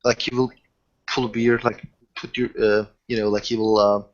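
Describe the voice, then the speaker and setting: A man's voice: lowish voice, little pitch movement. Hesitant man on a muffled call. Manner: hesitant, halting. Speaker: tabletop roleplay player. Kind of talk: improvised in-character chat.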